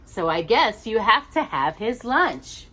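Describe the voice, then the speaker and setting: Woman as a slow-paced, teasing mother bear. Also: medium pitch, big pitch swings, bright, forceful tone, breathy edge, exaggerated voice. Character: patient, gently teasing adult mother bear. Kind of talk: children's storybook character reading.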